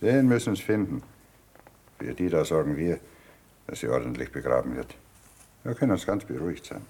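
An actor playing a fearful, submissive peasant farmer, rustic and husky, in a radio drama. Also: male voice, very deep voice, sing-song, moderate pace, dark tone, heavily acted. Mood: sombre. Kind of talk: stage-style radio drama acting.

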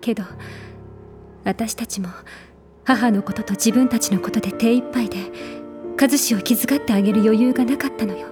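A woman plays a caring older sister weighed down by regret. Her fairly high voice is soft and reflective, acted in audio drama style over clear music. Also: normal speed, natural voice. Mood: regretful, worried. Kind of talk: audio drama monologue in dialogue.

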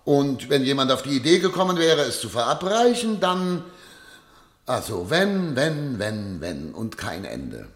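An actor playing an eloquent, unyielding public prosecutor in a German radio play, with emphatic, formal argument. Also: male voice, mid-range voice, very animated, a little slow, fairly bright tone. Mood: indignant, forceful.

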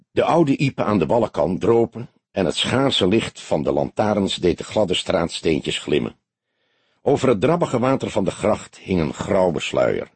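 A man reads a scripted detective novel as an expressive audiobook narrator. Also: low voice, breathy edge, no room sound. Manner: expressive storytelling with acted dialogue.

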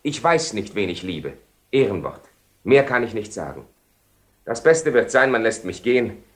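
An actor playing a proud, defiant young bridegroom in a radio drama, earnest and declamatory. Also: male voice, fairly low pitch, sing-song, normal speed. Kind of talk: 1970 German radio drama acting.

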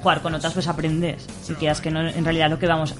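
A woman's voice: low-pitched, little pitch movement, fast talker. A woman with an accent from Spain, chatting informally and jokily as a guest player in a radio interview. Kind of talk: casual chat. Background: music.

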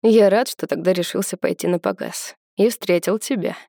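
A woman voicing a composed, gallant middle-aged businessman in an audiobook who speaks calmly and politely. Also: fairly low pitch, moderate pace, no echo, studio quality. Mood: sincere.

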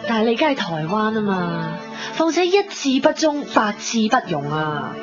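A frank, worldly Hong Kong woman played by an actress teases and nags in slangy Cantonese. Her voice is mid-pitched, with sighs, in a dull radio drama recording with music underneath. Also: moderate pace, lightly acted. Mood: blunt, exasperated. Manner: blunt, sighing, animated nagging with slang. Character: frank, worldly, teasing female friend scolding and helping. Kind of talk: 1990s radio drama acting.

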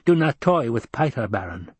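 A man's voice: medium pitch, sing-song, moderate pace, breathy edge, no echo. A man voicing a venomous, volatile scheming advisor with a sneering, insolent manner. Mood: petulant warning.

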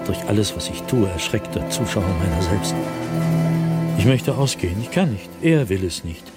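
A man's voice: on the low side, lively intonation, lightly acted. An actor playing an anguished, educated man who fears madness and an unseen being, in a brooding radio drama diary monologue. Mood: despairing, anguished. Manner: brooding, literary, deliberate phrasing with agitated emphasis. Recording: dry studio with music behind.

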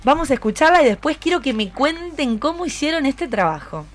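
A woman's voice: high-pitched, very animated, brisk. A woman with a Rioplatense Argentine accent hosting a conversational radio interview, encouraging and full of questions. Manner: encouraging, asks many questions. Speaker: radio host.

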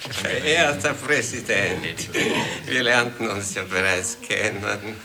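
An actor playing a muddled, courteous government minister in a farcical radio play, hesitant and stammering, repeating himself with a creaky voice. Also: male voice, on the low side, slow, noisy background. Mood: dithering.

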